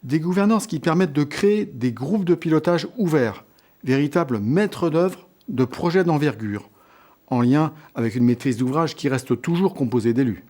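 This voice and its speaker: A man reading a scripted instructional presentation in a measured, structured way, setting out points in short phrases. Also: fairly high pitch, animated, dark tone. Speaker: lecturer presenting on local governance.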